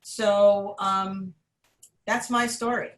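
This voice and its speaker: A woman from Jamaica with a Jamaican accent, speaking on a panel and pausing often with ums. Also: medium pitch, fairly even intonation. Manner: hesitant, many ums. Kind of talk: panel discussion. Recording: roomy echo.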